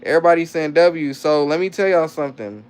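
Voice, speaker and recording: A man's voice: fairly high pitch, fairly quick, darkish tone, clear, resonant tone. A young man talking loosely on a livestream, reading chat comments aloud and answering them in rambling slang with lots of restarts. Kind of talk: casual live-stream chat.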